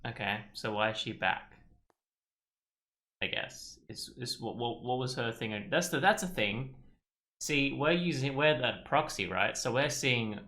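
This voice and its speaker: A man's voice: mid-range voice, expressive, unhurried, bright, forceful tone. A man giving casual let's play commentary, thinking aloud as he goes. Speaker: game streamer.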